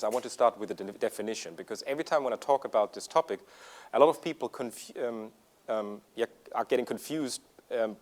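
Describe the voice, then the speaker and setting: A man from Germany with a German accent giving a conference presentation in English, with frequent ums and uhs. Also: medium pitch. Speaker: conference speaker. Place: conference room.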